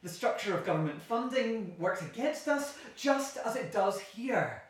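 A woman's voice: fairly high pitch, lively intonation, unhurried. A woman playing a disillusioned, radical-minded former council planner in a naturalistic audio play, intense and sceptical. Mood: frustrated, urgent. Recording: very echoey room, distant mic.

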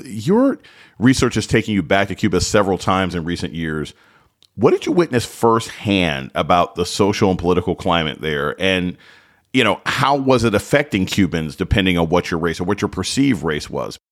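A man hosting a radio interview, reading a scripted introduction and asking measured, calm questions. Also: low voice. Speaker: radio host.

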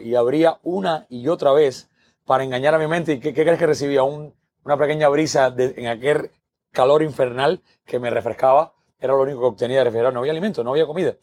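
A man's voice: mid-range voice, darkish tone. Cuban male guest, earnest storyteller, mid-range voice, repeats himself for emphasis. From Cuba. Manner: earnest, repeats words while building a point. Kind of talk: podcast interview, personal story.

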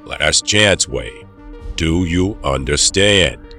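An actor playing a cold, controlling doctor in an audio drama, giving orders in a stern, measured, quietly menacing way. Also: male voice, low voice, animated, soft background music, heavily acted. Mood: cold, impatient.

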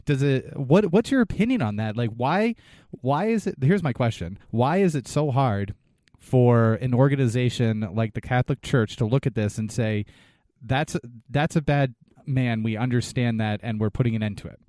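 A man interviewing someone conversationally, halting and searching for his words as he puts his questions. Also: medium pitch, lively intonation, warm tone, no echo, clean studio sound.

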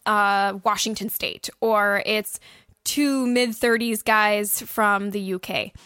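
A woman's voice: medium pitch, steady tone, takes it slowly, sharp tone, smooth, dry recording, clean studio sound. A woman co-hosting a casual podcast, giving advice in an emphatic way and acting out examples as she goes. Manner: emphatic, acts out imagined thoughts and quotes. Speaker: podcast host and audio drama producer. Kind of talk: casual behind-the-scenes advice chat with a co-host.

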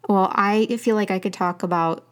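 A woman's voice: mid-range voice, fairly even intonation, normal speed, clear, resonant tone. A woman interviewing an author, chatty and enthusiastic, full of compliments. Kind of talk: interview questions and praise.

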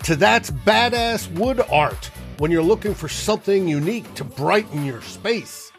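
Man, podcast co-host, higher pitch, wordy, faint music behind. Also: expressive, a little slow. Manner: wordy, reads sponsor plugs. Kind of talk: casual chat.

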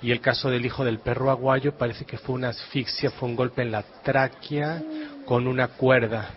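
A man's voice: mid-range voice, fairly even intonation, moderate pace, crowd noise. A man from Galicia, a novelist, answering interview questions in a reflective, literary way with dry humor. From Galicia, Spain. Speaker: novelist guest. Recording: dull lo-fi radio sound.

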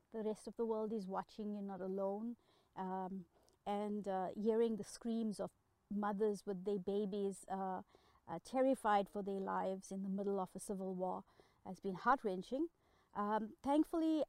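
An earnest South African woman talks in a halting way, filling her pauses with small sounds. Her voice sits in an ordinary middle pitch and comes across dry and close, with no room around it. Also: mellow, no room sound. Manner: halting, many filler pauses, heartfelt. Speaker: interview guest. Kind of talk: earnest interview conversation.

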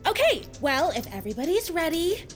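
A woman's voice: extremely high pitch, big pitch swings, a little fast, over music. A young woman playing an earnest, nerdy girl who sounds unsure and quirky in an audio drama. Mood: nervous.

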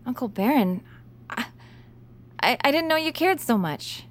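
An earnest young niece in an audio drama. She speaks in a highish, very mobile voice, hesitating and stammering with eagerness and feeling. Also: lively intonation, measured pace. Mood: overwhelmed, touched. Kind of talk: audio fiction comedy acting.

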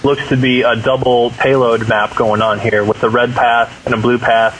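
A man's voice: mid-range voice, flat delivery, normal speed, a bit bright, slight background noise, rough recording. A man on a podcast panel, explaining things in a casual, conversational way.

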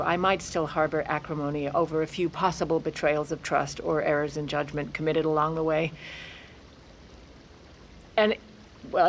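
A woman's voice: low-pitched, a little hiss or hum. An actress playing a proud, sardonic aging former First Lady in an audio drama, wry and haughty with formal wording. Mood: haughty, softening. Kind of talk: audio fiction drama, first-person memoir narration and dialogue.

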